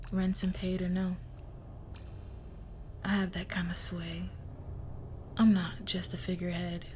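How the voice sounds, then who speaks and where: A cool crime heiress, acted by a woman, speaks very slowly in an even, controlled tone. She is teasing and condescending, with quiet menace and breathy asides, in an intimate audio roleplay. There is some noise behind the voice. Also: fairly low pitch, close to an everyday voice. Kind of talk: scripted ASMR-style roleplay monologue.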